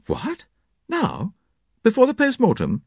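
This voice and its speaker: A man voicing a hesitant, worried gentleman in a raised, fretful voice. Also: medium pitch, sing-song, normal speed, no echo, studio quality, voice pushed up.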